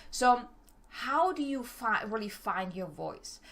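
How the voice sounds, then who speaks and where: Fast-talking German woman coach. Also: fairly high pitch, expressive, fairly bright tone. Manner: fast, stumbles over words, direct. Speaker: business coach. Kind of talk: motivational solo talk.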